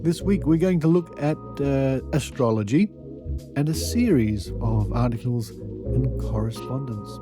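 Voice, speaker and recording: A man hosting a podcast, reading articles aloud with measured, deliberate phrasing. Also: medium pitch, loud music behind the voice. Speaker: podcast host.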